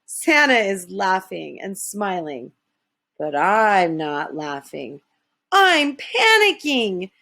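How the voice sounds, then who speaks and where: A woman voicing an anxious, earnest elf who tells a children's story with dramatic, drawn-out emphasis. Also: medium pitch, very animated, vocal fry, sharp tone, exaggerated voice. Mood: panicked.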